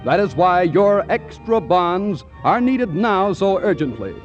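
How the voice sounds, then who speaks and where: A man's voice: sits high, animated, a little slow, faint music behind. A man with an American accent making formal, emphatic announcements as a 1940s radio announcer. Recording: dull 1940s radio broadcast.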